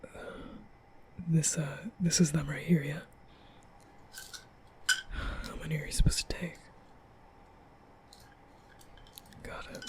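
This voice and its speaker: A man playing a nervous, caring young vampire in an ASMR roleplay, speaking softly and hesitantly with stammers in a breathy whisper. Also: a little high, little pitch movement, unhurried, natural voice. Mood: concerned, coaxing. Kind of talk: ASMR roleplay, soft comforting boyfriend audio.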